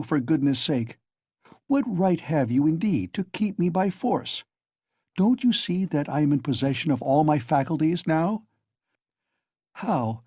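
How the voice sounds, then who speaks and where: A man's voice: on the high side, warm tone, breathy edge, dry recording, voice pushed up, exaggerated voice. A man voicing Raskolnikov, a feverish bitter young man. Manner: taunting, venomous, overwrought.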